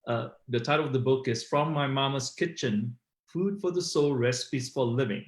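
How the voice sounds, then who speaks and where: A man's voice: mid-range voice, normal speed. A middle-aged man, telling his personal story conversationally as an interview guest. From Malaysia. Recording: roomy, echoey online call.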